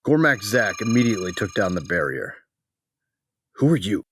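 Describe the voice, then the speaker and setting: A man's voice: on the low side, sing-song, normal speed, a little hiss or hum. An actor playing a battle-hungry, protective soldier who narrates his own story in a sci-fi audio drama. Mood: grim, dry.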